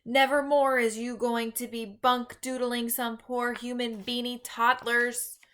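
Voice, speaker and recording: A woman voicing an earnest, easily exasperated gentle male giant in a children's storybook, speaking emphatically in folksy broken grammar with invented words. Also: fairly high pitch, flat delivery, measured pace, pitched above the performer's own voice. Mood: gloating, scolding. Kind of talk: read-aloud children's storybook.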